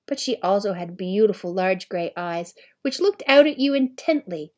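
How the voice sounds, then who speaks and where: A woman narrates a storybook at a middle pitch. Her delivery is measured and expressive, in the manner of someone reading a novel aloud. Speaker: audiobook reader.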